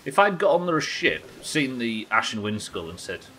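A man's voice: mid-range voice, sing-song, moderate pace, sharp tone, slight background noise. A British man in his thirties giving casual, rambling, sweary game commentary and chatting to viewers from a small room. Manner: sweary, rambling, self-questioning. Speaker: live game streamer. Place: small indoor streaming room.

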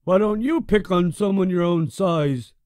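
A man voicing a big, sneering bully bear cub in a storybook reading, taunting in a loud sing-song. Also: very high voice, lively intonation, a little slow, no echo, clean studio sound, pitched above the performer's own voice. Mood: mocking.

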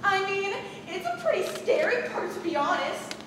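Girl acting pushy high-pitched drama girl, echoey hall. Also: sing-song, moderate pace. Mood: falsely sweet. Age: teens. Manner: falsely sweet, then loudly demanding. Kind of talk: high school stage comedy acting. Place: large theater stage, filmed from the audience. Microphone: far camera. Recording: distant, echoey auditorium, noisy.

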